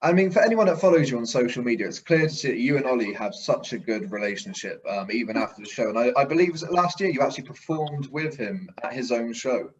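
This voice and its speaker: A man's voice: mid-range voice, lively intonation, quick pace, hardly any pauses. A man interviewing a guest conversationally as show host, effusive and complimentary, on a dull lo-fi recording.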